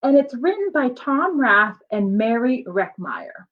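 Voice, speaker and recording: A woman's voice: a little high, very animated, measured pace, narrow, tinny audio. A woman reading a picture book aloud like a teacher, expressively and with simple explanatory asides for young children.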